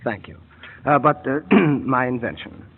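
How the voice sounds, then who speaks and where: A man's voice: medium pitch, unhurried, breathy edge. An actor playing a cold, mocking male mad scientist who chuckles as he threatens, in 1940s radio drama style, on a tinny old broadcast. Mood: gloating. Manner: suave, chuckling menace.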